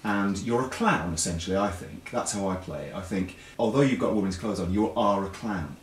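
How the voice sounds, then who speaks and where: A deep-voiced British man chats as an interview guest, explaining things casually with repeated words and self-corrections. The recording is echoey and a little distant from the microphone, with faint noise behind him. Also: fairly quick. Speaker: interview guest, pantomime writer and performer. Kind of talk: casual chat show interview.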